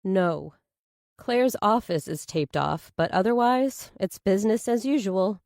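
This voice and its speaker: A woman's voice: medium pitch, unhurried, a little mellow, dry recording, studio quality, close to an everyday voice. A woman voicing an evasive, businesslike female lawyer who presses insistently in a thriller audiobook. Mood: urgent, evasive.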